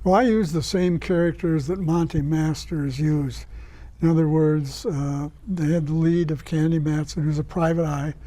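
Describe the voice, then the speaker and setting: A man answering interview questions as a guest, explaining things in a measured, informative way. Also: a little high, fairly even intonation, mellow. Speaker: guest, writer and director of radio plays.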